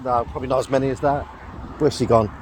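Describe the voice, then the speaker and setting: A middle-aged man with a croaky throat rambles through a casual vlog while out walking. He talks in a loose, chatty way, full of fillers and little laughs. Faint outdoor ambience sits behind his voice. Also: mid-range voice, fairly even intonation, fast, a little hiss or hum. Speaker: vlogger. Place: outdoors on a walk with a dog.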